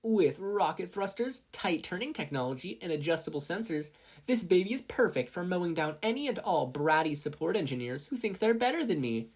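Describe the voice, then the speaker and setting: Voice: medium pitch, lively intonation, fairly quick, slightly rough, pitched above the performer's own voice. A narrator voicing a brash, boastful teenage girl inventor in a showy, salesy manner for a fan fiction reading. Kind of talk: podfic character voice.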